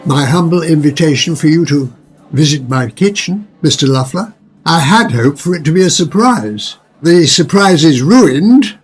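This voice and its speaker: Radio drama restaurateur, played by an older man: husky, higher-set male voice, unctuous, courtly and quietly controlling. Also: animated, normal speed, faint music behind. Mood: smooth, courtly. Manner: formal, courtly, theatrical.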